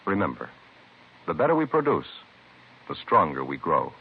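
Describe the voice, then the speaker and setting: A man with a husky voice announcing solemnly and emphatically in formal 1950s radio style. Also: rumbling low, huge pitch swings, normal speed, heavy background noise. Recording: thin, phone-like old radio recording.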